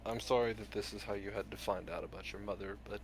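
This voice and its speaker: A man acts out a reformed villain ninja mentor for a tabletop game. The voice sits fairly low, solemn and earnest, with deliberate, halting phrasing. There is noticeable room noise behind it. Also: fairly even intonation, natural voice, low-quality recording. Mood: grave, instructing.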